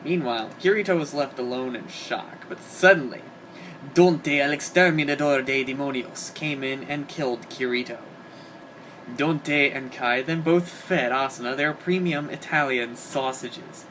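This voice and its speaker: A man's voice: mid-range voice, measured pace, fairly bright tone, poor audio. A man giving a dramatic reading of comic fan fiction. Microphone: home microphone on an internet call. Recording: hobby voice-call recording, clear background noise.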